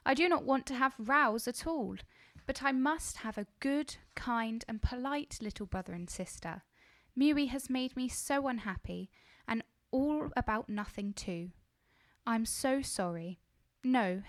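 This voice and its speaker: A man voicing a gentle young woman who looks after two children, speaking softly and pleadingly. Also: very high voice, no echo, studio quality, pitched above the performer's own voice, heavily acted. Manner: soft, pleading, tender. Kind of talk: audiobook character voice.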